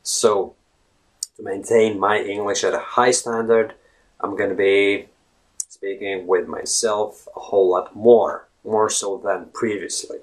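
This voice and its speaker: A man's voice: on the low side, level tone, unhurried, low-quality recording. A man in his thirties from Latvia, a native Latvian speaker with a Latvian accent, talking casually to the camera and rambling, often asking "right?". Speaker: language blogger.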